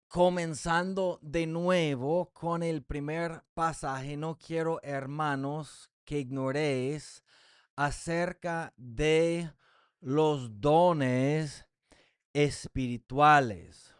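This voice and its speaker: Slow, clear-voiced preacher, Spanish as second language. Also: male voice, a little high, no room sound, clean studio sound. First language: English. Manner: slow, deliberate reading of scripture with frequent fillers. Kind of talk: Bible teaching sermon.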